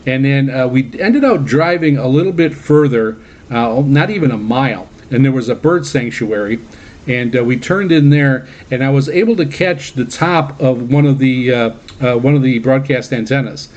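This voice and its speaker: A man hosting a hobby radio program in a casual monologue, speaking haltingly with many uhs and repeated words. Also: medium pitch, darkish tone. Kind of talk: casual radio monologue recounting personal trips. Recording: dull, lo-fi band-limited audio.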